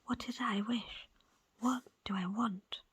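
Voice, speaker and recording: A woman reading a book aloud as ASMR in a soft, breathy, unvoiced whisper, with deliberate pauses between short phrases. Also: on the high side, fairly even intonation, no echo. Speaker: audiobook reader. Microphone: close, dry microphone.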